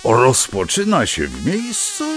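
An actor playing a wordy, old-fashioned storyteller who narrates a children's fable with pompous, digressive relish. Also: male voice, medium pitch, wildly swooping pitch, slow pace, a bit bright, exaggerated voice. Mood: wry, musing. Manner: pompous, digressive, savoring each word.

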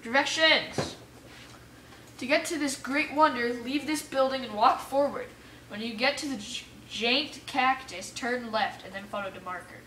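A woman giving casual let's play commentary, excitable, exclaiming and muttering to herself as she plays. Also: on the high side, unhurried. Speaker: gamer playing an adventure map for viewers. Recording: roomy echo, distant mic, faint noise.

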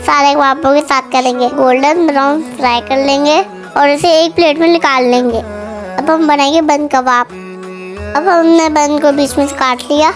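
A young-sounding female cooking host, narrating a recipe step by step in voice-over. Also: high voice. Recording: music behind the voice. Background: background music.

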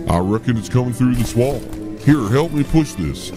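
An actor playing a practical, folksy hillbilly companion who muses aloud in a comedy audio drama. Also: male voice, medium pitch, animated, normal speed, over music, close to an everyday voice. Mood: musing, puzzled.

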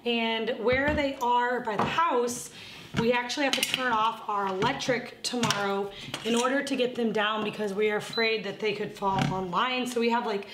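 A woman's voice: fairly high pitch, steady tone, moderate pace, noisy background. A woman in her thirties talking casually to the camera from her home kitchen while she cooks, explaining her plans step by step. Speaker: home cooking vlogger. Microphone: camera on a tripod across the kitchen. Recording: echoey kitchen with loud cooking clatter. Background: pots and pans clattering.

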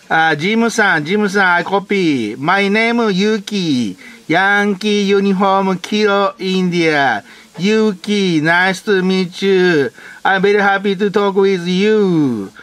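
A man's voice: sits high, slow, fairly bright tone, no echo. A man with a Japanese accent, a native Japanese speaker, chatting over amateur radio as an operator. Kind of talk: ham radio contact chat. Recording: noisy room with radio hiss.

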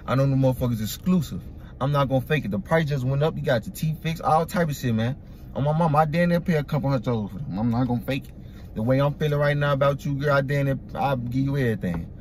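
A young man hosting a music reaction video from a parked car, talking excitedly in profane slang into a phone held at arm's length. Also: mid-range voice, fast, a little hiss or hum. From Iraq. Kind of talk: casual reaction commentary. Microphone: phone camera at arm's length.

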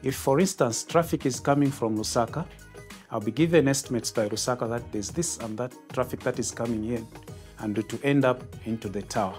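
A man's voice: medium pitch, brisk, a little mellow. Formal middle-aged Zambian man, music behind. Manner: formal, methodical. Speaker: air traffic controller, tower. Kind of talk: explanatory interview. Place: glass-walled control tower room, indoors. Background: background music.

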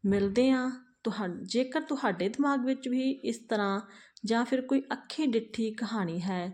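A woman narrating a moral family story for her channel in a measured, earnest read-aloud manner. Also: on the high side, a little mellow.

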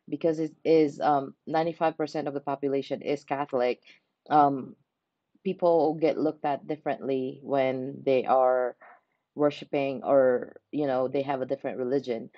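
A young woman talks slowly and thoughtfully, stopping now and then to find the right words. Her voice is warm and dark in tone. The sound is dry and a little dull, as if she recorded it through a headset at her laptop in a small room. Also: rumbling low, no room sound. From Philippines. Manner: unhurried, pausing to search for words. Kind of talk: unscripted reflective monologue. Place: small indoor room by a window.

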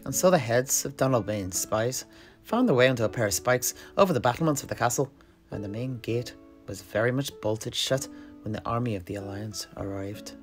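A man telling a fantasy history in character, conversationally, with a hesitant, measured, theatrical delivery. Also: mid-range voice. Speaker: in-character storyteller. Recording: music behind the voice. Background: plucked guitar music.

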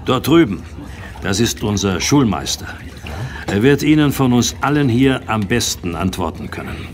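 An actor playing a hospitable, authoritative village dike master, speaking with deliberate weight in an audio drama. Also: male voice, fairly low pitch, lively intonation, background noise, lightly acted. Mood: grave. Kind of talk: German audio drama dialogue.

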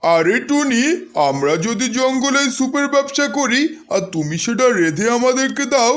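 Man as a high-voiced, wily cartoon crow. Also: sing-song, normal speed, fairly bright tone, exaggerated voice. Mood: persuasive. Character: wily, confident male crow, a cartoon husband. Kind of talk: children's cartoon voice acting.

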